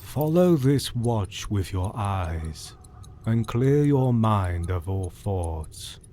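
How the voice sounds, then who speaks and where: An actor playing an old male vampire-hunting professor who speaks in a measured, calm, grave manner in an audio drama. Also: lowish voice, full character voice. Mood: soothing. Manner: slow, measured hypnotist's cadence. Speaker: Doctor Van Helsing.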